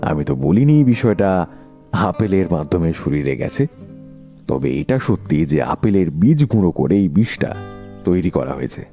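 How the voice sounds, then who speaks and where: Guy voicing a detective, super low voice, lively and dramatic, keeps asking pointed questions. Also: moderate pace, faint music behind. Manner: rhetorical, theatrical questioning. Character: sharp, theatrical male detective unmasking a killer. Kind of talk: Bengali audio drama acting.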